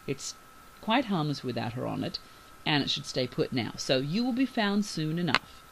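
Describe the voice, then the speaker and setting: A storyteller voicing a hot-tempered, exasperated male ship captain who growls and yells urgent orders. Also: mid-range voice, big pitch swings, normal speed, vocal fry, noisy background. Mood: impatient.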